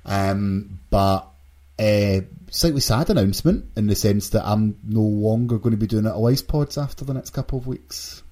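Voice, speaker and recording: Deep, husky podcast host. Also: male voice, moderate pace, dark tone. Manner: jokey banter. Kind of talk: casual group podcast chat.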